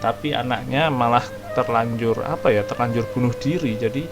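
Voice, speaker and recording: Chatty Indonesian gaming commentator. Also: male voice, mid-range voice, fast talker. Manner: rambling, chatty, many fillers. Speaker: gaming streamer. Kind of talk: casual let's-play commentary. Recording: voice over loud game music.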